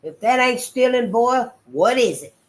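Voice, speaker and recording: Woman doing a sharp, furious mistress. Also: a little high, lively intonation, measured pace, pitched above the performer's own voice. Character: furious mistress of the house, interrogating.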